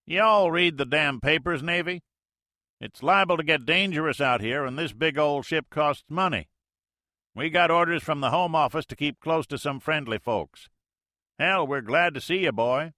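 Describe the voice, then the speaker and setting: Loud, high, folksy Mississippi drawl for a ship's captain hailing the Navy; bright and dry. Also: male voice, normal speed, no echo. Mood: folksy bluster. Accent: put-on Mississippi. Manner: boisterous, folksy, loud over the radio. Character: Soviet Army major faking a folksy Southern American drawl. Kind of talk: audiobook character voice.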